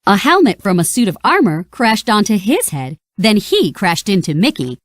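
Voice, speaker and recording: Casting: female storybook narrator, expressive and dramatic, very lively pitch, dry close sound. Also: moderate pace, dry recording. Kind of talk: scripted children's read-along narration.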